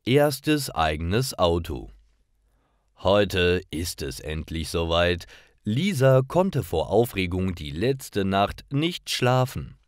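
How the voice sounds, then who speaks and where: A man's voice: deep voice, big pitch swings, slow pace, no room sound, clean studio sound. A man reads simple stories for language learners in a carefully articulated, scripted narration. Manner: simple, carefully articulated read-aloud delivery.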